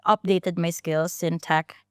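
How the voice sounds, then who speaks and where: 30s woman, founder chatting in an interview, lowish smooth voice, lots of restarts, dry close sound. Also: fairly even intonation, measured pace, no room sound. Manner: chatty, many restarts and fillers, laughs easily. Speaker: founder and guest. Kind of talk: casual interview storytelling.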